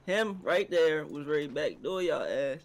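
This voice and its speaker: A fairly high-voiced American man playing a street character in an online game. His pitch jumps around a lot, and he talks back in defiant slang. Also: lively intonation, moderate pace, creaky. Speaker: player in a roleplay game. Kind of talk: in-character improvised roleplay chat.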